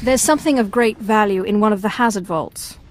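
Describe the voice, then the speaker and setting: An actress voices a Sith apprentice in a video game. She is cool and dryly witty, with a mid-pitched, controlled voice over faint background noise. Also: a little fast, lightly acted. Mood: determined, dry. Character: cool, dry-witted female alien Sith apprentice. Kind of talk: video game cutscene acting.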